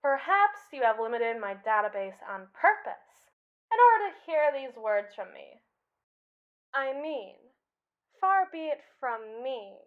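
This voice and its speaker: A haughty female robot acted by a woman speaks in a fairly high voice. She is pompous and sardonic, hiding jealousy behind formal, wordy phrasing. It is an ASMR roleplay monologue with slightly muffled, lo-fi sound. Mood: mocking.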